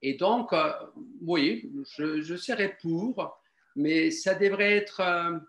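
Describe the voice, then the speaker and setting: Man, slightly high voice, halting and polite, echoey room on a remote call. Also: a bit bright. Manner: halting, repeats himself, polite. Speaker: public hearing participant. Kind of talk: spoken opinion to a panel. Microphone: video or phone call.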